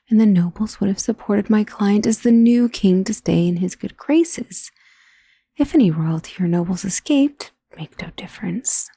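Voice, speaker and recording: A woman playing a powerful, aristocratic vampire who is doting and protective, speaking softly and formally in an intimate ASMR roleplay. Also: medium pitch, vocal fry, dry recording. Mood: composed, frustrated. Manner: formal, refined, genteel wording. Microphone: close, dry studio microphone.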